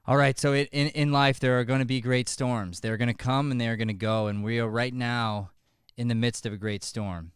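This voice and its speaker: Guy hosting, sincere sign-off and prayer, gently halting, smooth voice, close dry mic. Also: mid-range voice, steady tone, no room sound. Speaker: improv show host. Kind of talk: earnest closing address and prayer. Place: studio, close podcast microphone. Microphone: podcast microphone.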